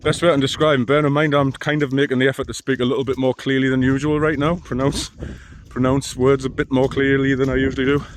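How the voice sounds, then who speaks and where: Bloke with a deep mumbly voice, rambling dry vlog chat out on the trail, bit of faint noise behind. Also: male voice, level tone, quick pace, few pauses. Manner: dry self-mocking asides, rambling with restarts. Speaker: long-distance hiker vlogger. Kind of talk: casual walking vlog. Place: outdoors on a hill trail.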